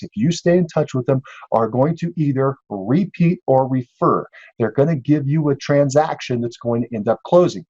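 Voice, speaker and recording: A man's voice: medium pitch, a little mellow, slightly rough, dry recording, highs cut off. A man giving a casual, encouraging instructional talk as a sales coach, speaking conversationally and checking in with listeners. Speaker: real estate sales coach and podcast host.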